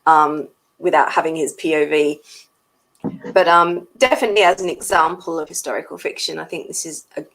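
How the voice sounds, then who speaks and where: A woman's voice: sits low, fairly even intonation, brisk, fairly bright tone, rough recording. A woman co-hosting a book read-along, giving her views in a casual chat.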